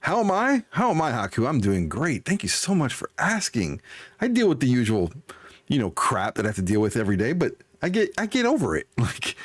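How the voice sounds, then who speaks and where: A man in his forties hosts a game livestream with an animated, swinging delivery. His voice sits at a middle pitch and sounds dry, with little room sound. He chats casually with viewers in an upbeat, folksy way. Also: a little fast, dry recording. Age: 40s. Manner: chatty, upbeat, folksy exclamations. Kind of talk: casual livestream chat, greeting and answering viewers.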